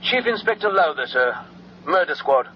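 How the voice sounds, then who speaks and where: A guarded police inspector heard by telephone in a radio drama. His fairly high voice is formal and probing. The sound is muffled and noisy. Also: very animated, normal speed. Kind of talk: radio horror drama acting.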